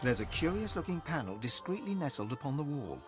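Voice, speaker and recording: A solemn male storyteller narrates a fantasy adventure game. His delivery is scripted and storybook-like, with lively, wide-moving intonation. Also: on the high side, brisk, soft background music. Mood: measured, mysterious.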